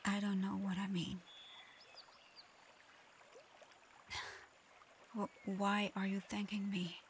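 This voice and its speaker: A woman voicing a proud but conflicted dragon girl in human form for an ASMR audio roleplay, soft-spoken, hesitant and earnest. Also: medium pitch, level tone, a little slow, slight background noise, close to an everyday voice. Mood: flustered, shy.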